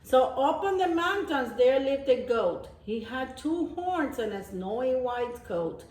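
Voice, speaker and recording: A woman reading a picture book aloud to young children, pausing to explain things simply like a teacher. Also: high-pitched, sing-song, a little mellow. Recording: echoey room.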